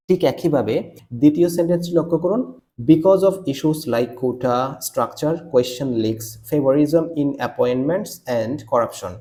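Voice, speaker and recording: A man with a Bangladeshi accent giving a scripted grammar lesson as a teacher, deliberate and instructive, stressing key terms. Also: a little high, dark tone.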